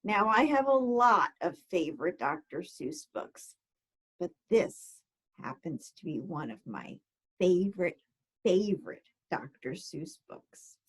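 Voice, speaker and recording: A woman presenting a storytime for children, speaking to them directly in a deliberate, theatrical way. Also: on the low side, sing-song, breathy edge. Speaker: guest storybook reader for children.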